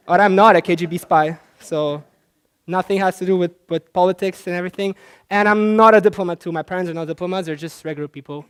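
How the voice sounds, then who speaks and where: A man's voice: high-pitched, fairly even intonation, brisk, clear, resonant tone. A young man from Central Asia, a native Russian speaker, giving a chatty conference presentation with many fillers and restarts. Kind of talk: presentation talk.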